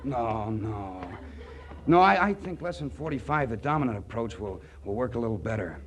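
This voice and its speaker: A man's voice: mid-range voice, expressive, moderate pace, lightly acted. An actor playing a naive, bashful small-town young man with a hesitant stammer in a 1940s radio comedy. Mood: nervous. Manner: stammering, hesitant, with goofy earnestness. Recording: noisy 1940s radio broadcast recording.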